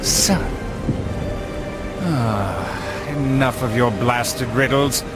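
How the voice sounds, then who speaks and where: A man playing a cold, commanding medieval lord in a video game, speaking in an imperious, deliberate way. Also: mid-range voice, gravelly, over loud music. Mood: menacing. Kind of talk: video game cutscene acting.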